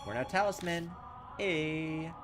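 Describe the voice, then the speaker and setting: A man's voice: a little high, slow, music in the background. A man playing a horror game and reading its text aloud, thinking aloud and making fun of his own mistakes. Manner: self-mocking, thinks aloud, loses his way. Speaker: let's play gamer.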